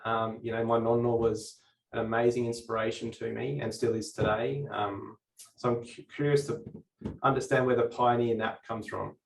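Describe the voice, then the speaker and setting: A man's voice: medium pitch, level tone, moderate pace, creaky, slight background noise. A man co-hosting an interview, asking long, rambling, earnest questions. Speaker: co-host. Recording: echoey, distant from mic.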